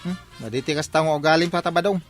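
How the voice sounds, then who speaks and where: A man's voice: on the high side, quick pace, music in the background, lightly acted. A man acting a nosy, wry village neighbour in an Ilocano comedy radio drama.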